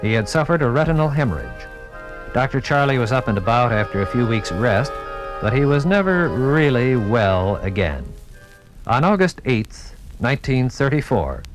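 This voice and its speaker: A man's voice: medium pitch, very animated, normal speed, music in the background, rough recording. Formal American male radio narrator. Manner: formal, dramatic announcer delivery. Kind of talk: 1950s radio documentary scripted narration. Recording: vintage 1950s radio recording.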